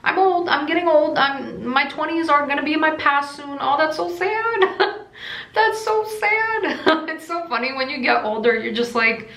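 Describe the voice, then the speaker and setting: A woman's voice: high-pitched, moderate pace, bright, forceful tone, roomy sound, low-quality recording. A woman in her late twenties with an American accent, chatting casually and rambling with giggles as she answers viewer questions for her crystal vlog. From Russia, raised in the United States. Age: 20s. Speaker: crystal shop owner and vlogger. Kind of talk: casual Q&A chat to camera.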